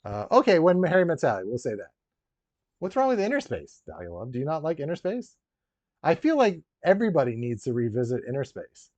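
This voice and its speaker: A man's voice: on the high side, wildly swooping pitch, a little fast, darkish tone. A man hosting a casual live stream as a toy collector, chatting with viewers in a rambling, joking way full of dry, teasing asides. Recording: roomy echo, dull lo-fi sound.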